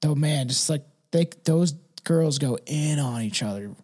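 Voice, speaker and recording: Man, high-pitched voice, podcast host, blunt, emphatic, sweary, casual opinionated chat. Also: animated, measured pace, mellow, breathy edge. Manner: blunt, emphatic, swears freely, ends phrases with "right" and "man".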